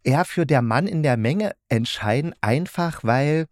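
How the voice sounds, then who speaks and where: Male podcast interviewer, mid-pitched, informal and rambling, searching for words, recorded dry. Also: animated, unhurried, a little mellow, no echo. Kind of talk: casual interview chat.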